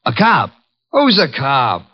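An actor playing a breezy, streetwise private detective's assistant with an American accent in a 1940s radio drama, slangy and flippant. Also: male voice, medium pitch, huge pitch swings, takes it slowly, full character voice. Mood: brisk, flippant. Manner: slangy, flippant, hard-boiled. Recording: muffled old radio recording with music.

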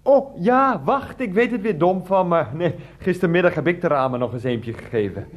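A man's voice: high voice, expressive, normal speed. An actor playing a hesitant, evasive and theatrical family man in a radio drama, rambling as he talks. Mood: flustered, evasive. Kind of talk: Dutch radio drama dialogue.

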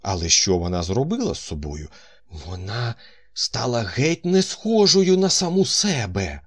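A man voicing a perceptive young woman who exclaims quickly in astonishment. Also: medium pitch, very animated, husky, pitched above the performer's own voice. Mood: astonished.

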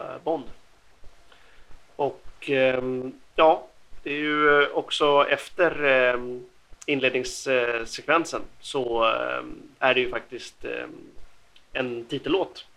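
A man presenting game facts on a podcast, speaking haltingly with many hesitant pauses. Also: medium pitch, creaky, bright, forceful tone, poor audio. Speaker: podcast host.

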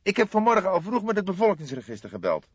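A man voicing an agitated, eager young police detective in an audiobook, with excitable, emphatic delivery. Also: high voice, fast, husky, no room sound, lo-fi sound, pitched above the performer's own voice, exaggerated voice. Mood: eager, reporting.

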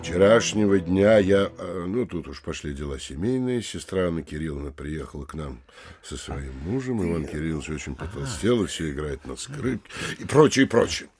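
An actor playing a pompous, middle-aged provincial mayor, deliberate and admonishing, in a theatrical audio drama. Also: male voice, low voice, lively intonation, darkish tone, breathy edge, dry recording, soft background music, heavily acted. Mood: glum.

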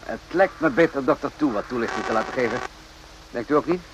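Man playing measured army captain, mid-pitched. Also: lively intonation, noisy background, lightly acted. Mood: polite, probing. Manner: measured, pointed, insinuating. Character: courteous but probing male army captain, calm interrogator. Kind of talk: radio play acting.

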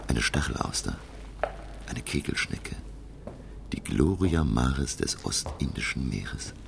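An actor playing a learned, curious professor who narrates his undersea adventure in a radio play, gravelly and measured. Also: lively intonation, slight background noise, full character voice. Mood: reflective wonder. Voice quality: very deep, gravelly. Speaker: narrator and character.

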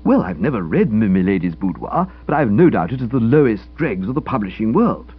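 A man's voice: fairly low pitch, very animated, fairly quick, slightly rough, soft background music. A man voicing a gloomy, self-pitying young upper-class English writer in a comic audiobook, grumbling theatrically. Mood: aggrieved. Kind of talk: comic audiobook character dialogue. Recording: dull lo-fi radio-style recording.

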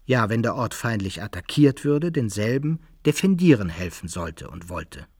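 A man's voice: lowish voice, big pitch swings, moderate pace, a little mellow, husky, no room sound, clean studio sound, full character voice. A man playing a composed old-time soldier who recounts his own adventures in formal literary radio-drama narration. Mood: solemn.

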